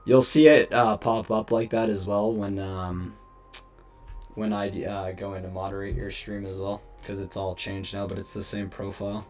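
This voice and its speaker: A young man with a hoarse, gravelly voice chats casually through a live stream, explaining each step of his photo editing and answering viewers. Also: lowish voice, little pitch movement, moderate pace, darkish tone. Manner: chatty, talks through each step, greets chat. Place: home room at a desk. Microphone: headset.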